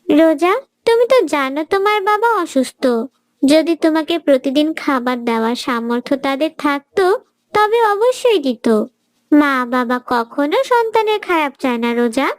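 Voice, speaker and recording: A woman voicing a gentle, pious little schoolgirl in a cartoon, speaking earnestly and politely. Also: high voice, expressive, moderate pace, dry recording. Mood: gently reproving. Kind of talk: cartoon dialogue.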